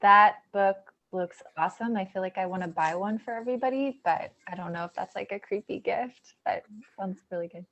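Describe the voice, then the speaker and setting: A woman's voice: mid-range voice, a little fast, a bit bright. A woman hosting an online event and introducing presenters in an upbeat, friendly way over a video call. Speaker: event host. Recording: dull lo-fi audio.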